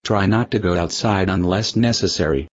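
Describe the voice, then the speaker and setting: A man's voice: sits low, normal speed, no echo. A man reading a scripted mock civil danger warning as an alert announcer. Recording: dull lo-fi audio, faint noise.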